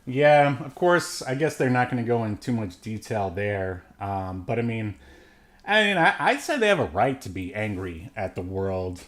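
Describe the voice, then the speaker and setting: A man's voice: fairly low pitch, sing-song, normal speed, fairly bright tone. A man co-hosting a podcast, chatting casually about films in a rambling, joking way. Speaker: podcast co-host. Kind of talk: casual movie review chat.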